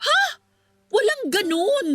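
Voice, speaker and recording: A woman's voice: very high-pitched, sing-song, slow, pushed and bright, heavily acted. A woman with a Filipino accent playing a defiant, argumentative mistress who talks back in a radio drama. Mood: indignant. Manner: sassy, argumentative.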